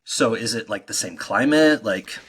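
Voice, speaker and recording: Low, bright, husky American podcast host. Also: male voice, normal speed. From United States. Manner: relaxed, chatty, frequent "like". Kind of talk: casual podcast chat.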